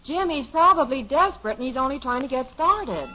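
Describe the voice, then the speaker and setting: An actress playing a calm, gently mocking family mother in a 1950s radio sitcom, on a dull lo-fi old radio recording. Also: high-pitched, brisk.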